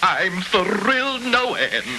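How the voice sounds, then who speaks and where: Vain, animated patchwork dragon. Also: male voice, sits high, slow pace, exaggerated voice. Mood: proud, thrilled. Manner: fussy, flowery, pompous. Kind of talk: 1930s children's radio serial acting. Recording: crackly hissing vintage radio recording.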